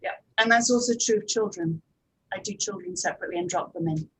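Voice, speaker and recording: A woman's voice: fairly low pitch, quick pace, slightly rough, rough recording. A woman producer and director talking informally on a panel, in a conversational, hedging way.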